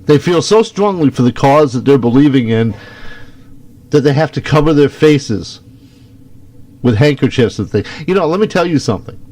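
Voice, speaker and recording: A man's voice: mid-range voice, expressive, moderate pace, darkish tone, dry recording. A man in his fifties giving casual, opinionated political commentary as a talk show co-host.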